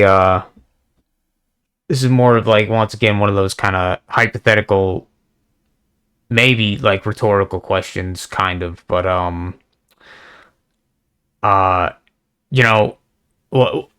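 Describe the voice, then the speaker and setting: A young man with a slightly hoarse voice, rambling casually in a monologue, full of fillers, restarts and swearing. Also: on the low side, a bit bright. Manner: halting, many fillers and restarts; casual and profane. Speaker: video response creator.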